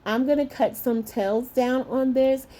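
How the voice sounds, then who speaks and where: A middle-aged woman hosting a craft channel, narrating a tutorial in a chatty way with a slightly hoarse voice and calling her viewers lovies. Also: high-pitched, steady tone, a little slow. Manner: warm, chatty, calls viewers lovies and y'all.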